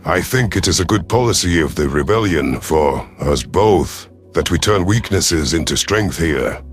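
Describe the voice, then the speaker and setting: A man voicing a stern, shrewd alien predator chief in an audio drama, speaking in a formal, measured, authoritative way. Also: sits low, expressive. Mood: shrewd, commanding. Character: commanding alien predator rebel leader, male, shrewd and stern. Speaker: military chief narrating his own story. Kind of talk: audio drama narration and dialogue. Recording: music behind the voice.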